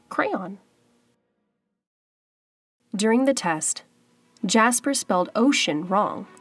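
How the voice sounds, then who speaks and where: A woman narrating a children's storybook aloud in a dramatic, suspenseful way with deliberate pauses. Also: mid-range voice. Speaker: storybook reader. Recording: faint background music. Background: faint music.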